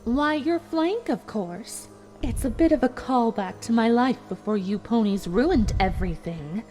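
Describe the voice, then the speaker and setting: A woman playing a vengeful, calculating pony villain in a fan fiction audio drama, speaking in a measured, taunting, theatrical way. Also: a little high, expressive, mellow, soft background music. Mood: cold. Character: vengeful female pony villain, calm, calculating, gloating sorceress.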